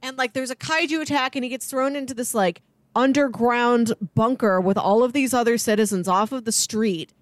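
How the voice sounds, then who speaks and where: A woman co-hosting a casual film discussion in a chatty, easygoing way, quick to laugh. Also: on the high side, no echo. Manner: chatty, laughs readily while talking. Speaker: podcast co-host.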